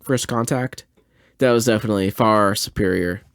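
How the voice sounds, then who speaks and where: A man's voice: on the low side, moderate pace, no room sound. A man hosting a podcast with casual, bemused reaction commentary in informal, slangy phrasing. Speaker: podcast host.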